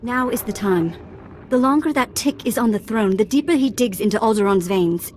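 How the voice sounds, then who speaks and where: An actress playing a proud, vengeful princess in a video game, formal and forceful. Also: female voice, a little high, lively intonation, normal speed, slight background noise, close to an everyday voice. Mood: urgent, bitter.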